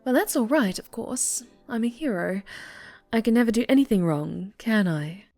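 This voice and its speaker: A woman playing an obsessive female superhero captor in an ASMR roleplay, speaking in breathy coos and whispers with sweet, possessive menace. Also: a little high, expressive, normal speed, soft background music. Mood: apologetic, smug. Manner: breathy cooing that slips into whispers and soft menace. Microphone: close microphone.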